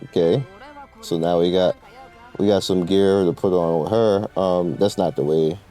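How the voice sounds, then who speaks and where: A man's voice: low-pitched, steady tone, measured pace, warm tone, soft background music. A man giving casual, informal gameplay commentary, rambling through his explanations in slangy language as he guides viewers. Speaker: gamer presenting a game guide.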